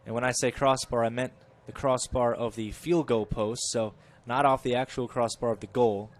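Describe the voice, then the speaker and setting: A man giving chatty live play-by-play of a college soccer match, correcting himself and rising in pitch and volume when the play gets dangerous. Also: steady tone, normal speed. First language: English. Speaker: play-by-play commentator.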